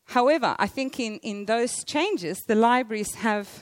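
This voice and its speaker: A middle-aged woman with a loud voice giving a conference presentation, hesitant, with many fillers and restarts. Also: on the high side, normal speed, no echo. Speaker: conference speaker, library interior designer.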